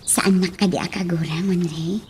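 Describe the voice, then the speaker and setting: A woman playing a gentle, caring young village woman in a radio drama, with an Ilocano accent. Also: fairly low pitch, fairly even intonation, moderate pace, a little hiss or hum, natural voice. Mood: tender, earnest.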